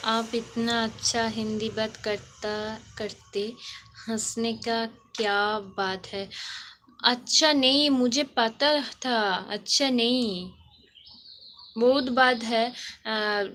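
A young Bengali-accented woman talks slowly and hesitantly on a phone live stream, searching for her words in broken Hindi. Her voice sits a little high. Clear background noise runs under her speech. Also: steady tone, fairly bright tone. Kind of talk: casual live-stream chat with viewers.

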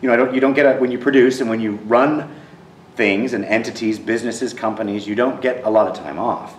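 A man's voice: mid-range voice, fairly quick, slight background noise. A man in his thirties, a theater director, answering interview questions earnestly and often repeating words as he searches for them. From Texas. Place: empty theater auditorium. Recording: roomy, distant sound in a theater.

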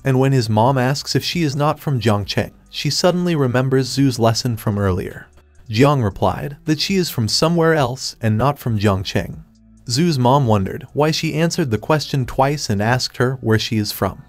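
A man giving a scripted third-person recap of a comic story in a steady retelling manner. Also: mid-range voice, moderate pace, dry recording. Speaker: recap narrator. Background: faint background music.

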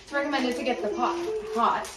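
A woman's voice: a little high, fairly quick. A young woman co-hosting a casual home cooking vlog, giving offhand tips and teasing her partner in a kitchen. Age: young adult. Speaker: vlog co-host cook. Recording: echoey kitchen, far from mic, loud frying.

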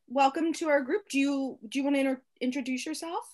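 A woman performs an improvised comedy scene on a video call. Her voice is a little high and her phrasing hesitant. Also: steady tone, quick pace, darkish tone.

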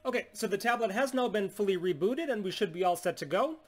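A man in his thirties from the United States, a steady, salesy business owner explaining a tablet unlock to camera in a small room. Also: high voice, fast talker. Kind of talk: scripted product demonstration. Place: small indoor room at home.

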